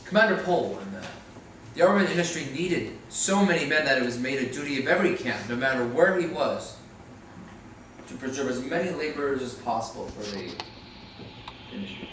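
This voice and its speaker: Stage drama: man as unrepentant Nazi officer, clipped and formal, recorded from a distance with clear background noise. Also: mid-range voice, animated, a little fast, a bit bright, close to an everyday voice. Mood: matter-of-fact. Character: unrepentant former Nazi camp commandant on trial, matter-of-fact. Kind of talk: staged play reading. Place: indoor room at a live staged reading, distant mic. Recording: very echoey, noisy room, distant mic.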